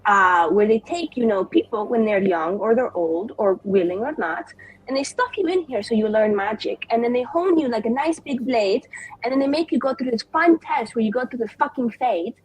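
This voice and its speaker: A woman's voice: a little high, animated, moderate pace, slightly rough, no echo, low-quality recording. A woman playing a very confident, spiteful female mage with sarcastic, mock-formal delivery in an improvised tabletop game. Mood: bitter, lecturing.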